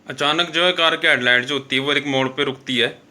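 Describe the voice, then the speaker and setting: Bright, forceful male horror storyteller. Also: on the high side, fairly even intonation, brisk. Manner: conversational, repetitive filler-laden phrasing with self-corrections. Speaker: host and storyteller of a horror show. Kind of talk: informal horror storytelling narration.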